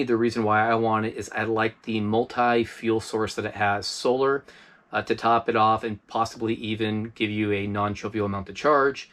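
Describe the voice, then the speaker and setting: Chatty man reviewing gear. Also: fairly low pitch. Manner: relaxed and chatty, frequent fillers. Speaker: host of a preparedness review channel. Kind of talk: casual product review. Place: inside an RV.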